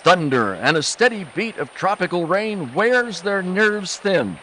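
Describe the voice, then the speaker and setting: High, animated old-time radio announcer man. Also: a little slow, a little hiss or hum, rough recording. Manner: excited, emphatic salesman-like delivery. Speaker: announcer and narrator. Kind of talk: 1940s radio acting, announcing and narration.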